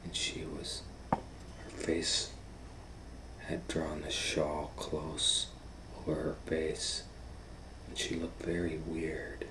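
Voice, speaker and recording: A man reading a children's story aloud as host, dramatically, moving between hushed and loud. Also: a bass voice, monotone, drawn-out words, pauses often. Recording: faint background noise.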